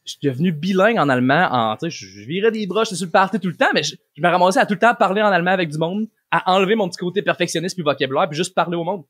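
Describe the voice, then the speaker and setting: A Québécois man talks rapidly as an interview guest, explaining in an informal, slangy way. His voice is slightly high with a rough, husky grain. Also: animated, a bit bright, dry recording, studio quality. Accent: Québécois French. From Quebec, Canada. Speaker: interview guest, language coach. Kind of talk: casual explanatory chat.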